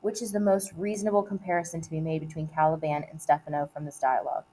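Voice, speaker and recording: A woman reading aloud like a teacher giving a test, in a measured, deliberate way with short, separated phrases. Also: low-pitched, steady tone, dark tone, a little hiss or hum, poor audio. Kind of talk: read-aloud of multiple-choice questions and quoted play lines.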